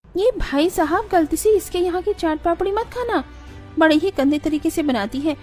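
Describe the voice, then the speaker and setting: A woman's voice: extremely high pitch, lively intonation, moderate pace, faint music behind. A woman voicing a jealous, haughty rich restaurant owner in a moral cartoon, with a scolding, sneering manner. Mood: spiteful. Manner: scolding, sneering, sharp-tongued. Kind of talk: Hindi moral cartoon voice acting.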